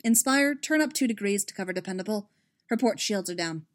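A woman voicing a commanding female fleet admiral, urgent and sharp with a raised voice. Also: mid-range voice, lively intonation, fairly quick, close to an everyday voice.

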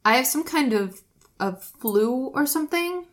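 A woman voicing a stiff, earnest young man who speaks bluntly and haltingly. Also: high voice, expressive, voice pushed up.

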